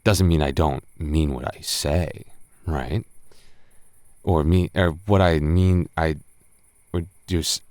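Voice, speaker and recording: A very low, unhurried young male voice acted by a man plays a tipsy roommate in an intimate roleplay audio. He stammers and rambles, teasing yet flustered and secretly smitten. The sound is close and dry. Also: steady tone, a little mellow, dry recording. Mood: tipsy, sheepish. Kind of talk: boyfriend roleplay audio acting.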